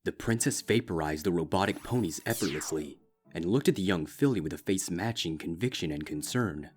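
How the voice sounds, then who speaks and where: A man reading a dark fanfiction story aloud in a slow, grave, steady narrating style. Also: low-pitched, faint music behind. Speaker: fanfiction reader.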